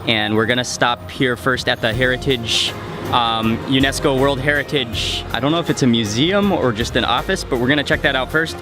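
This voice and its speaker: A man casually narrating a travel vlog while walking outdoors, relaxed and chatty with fillers. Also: medium pitch. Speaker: travel vlogger. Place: outdoors on city streets. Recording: music bed and traffic behind.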